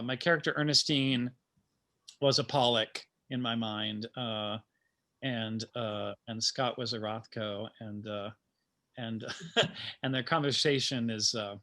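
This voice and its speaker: Male guest writer, bright and forward tone, medium pitch, thoughtful and halting informal talk. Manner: halting, thoughtful, chuckling asides. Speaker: playwright and teacher, guest speaker. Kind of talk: informal talk about creative process.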